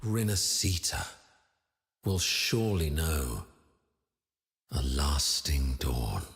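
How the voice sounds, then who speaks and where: A man playing a solemn, righteous leader of an ancient order in a video game cutscene, speaking gravely and ceremonially over a noisy bed of watery ambience. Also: very deep voice, sing-song, heavily acted. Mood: solemn, resolute. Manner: grave, ceremonial, measured delivery.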